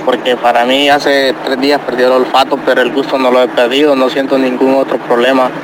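A male listener puts a plain question to a show in an even, flat tone. Cars and street ambience are clearly audible behind him. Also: mid-range voice, fairly quick. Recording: clear traffic-like outdoor noise.

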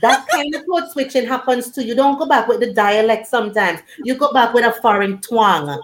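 A woman's voice: fairly high pitch, moderate pace, echoey room, a little hiss or hum. Playful, teasing woman panelist. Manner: playful, teasing, laughs often. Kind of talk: casual group chat online.

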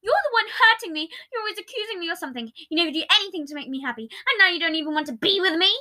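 A woman's voice: very high voice, animated, very fast, sharp tone, pitched above the performer's own voice, exaggerated voice. A woman voicing a cornered, salty man who lies by habit, defensive and uneasy with a sarcastic edge, in a dramatic audio story. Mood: salty, arguing.

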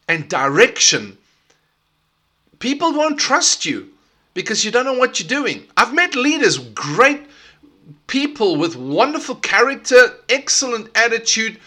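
A middle-aged man with a South African accent, hosting a solo leadership podcast in an energetic, emphatic way. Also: sits high. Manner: energetic and emphatic, with repetitive restarts. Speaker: podcast host.

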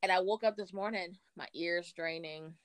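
A woman guest in casual chat over a remote call, sounding congested from allergies, animated and acting out little voices in her stories. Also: fairly low pitch, moderate pace, dry recording. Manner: animated storyteller, acts out voices.

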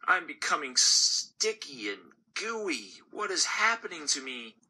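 A man's voice: big pitch swings, slow, pushed and bright, slightly rough, exaggerated voice. A man voicing a naive young male gumball who whines and frets in a raised voice. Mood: uneasy. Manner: high, whining complaints.

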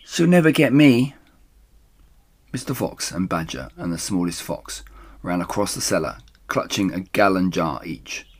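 A man reading a children's story aloud as a dramatic storyteller, suspenseful and hushed at moments. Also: deep voice, normal speed.

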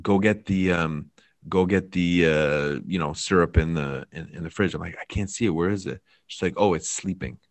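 A man co-hosting a casual chat, telling animated stories and getting worked up. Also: low voice, moderate pace, no echo. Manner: animated storyteller, gets worked up. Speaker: podcast co-host.

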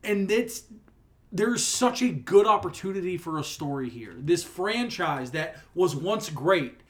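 A young man with a slightly raised pitch, co-hosting a casual sports podcast. He ribs his co-host, then talks earnestly about what makes sports stories matter. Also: animated, a little slow, a bit bright. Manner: teasing, then earnest and reflective.

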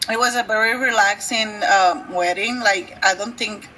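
Bright-voiced woman chatting with friends. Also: mid-range voice, normal speed, slight background noise, rough recording. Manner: emotional, laughs while talking. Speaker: podcast co-host. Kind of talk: casual chat among friends.

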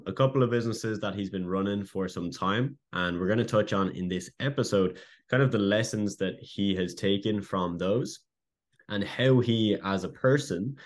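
A man hosting a casual interview, upbeat and informal, with loose phrasing and fillers. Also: fairly low pitch. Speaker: host and business coach.

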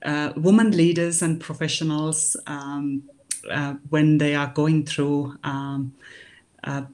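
A woman, a life coach answering interview questions, thinking aloud with pauses. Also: low-pitched, level tone, darkish tone. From Finland.

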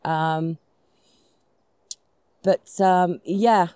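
A middle-aged woman from Finland with a Finnish accent, a guest speaking thoughtfully in an interview outdoors, pausing with ums and restarts. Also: on the low side, fairly even intonation, mellow, clean tone, no room sound. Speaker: guest, society chairman. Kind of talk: conversational interview. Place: outdoors in a forest.

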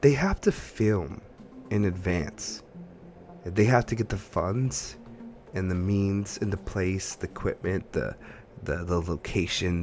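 A man's voice: low-pitched, a little mellow, slight background noise. A man co-hosting a podcast, chatting casually about games and films in a rambling, think-aloud way. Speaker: podcast co-host.

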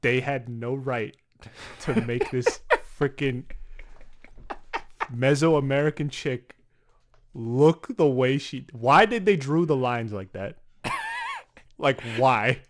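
A man co-hosting a casual comedy podcast, teasing his partner with profane, rapid comebacks. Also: mid-range voice. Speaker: podcast co-host.

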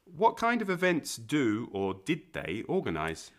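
Male teacher voice, scripted lesson questions, very animated pitch. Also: measured pace.

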